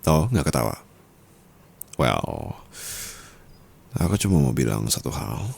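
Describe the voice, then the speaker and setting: A man's voice: very animated, heavily acted. A man playing a dominant, teasing yet caring sugar-daddy husband in an intimate ASMR roleplay, murmuring and slipping into whispers. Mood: seductive, menacing. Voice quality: very deep, gravelly, husky. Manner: slow, teasing, soft murmurs dropping into whispers.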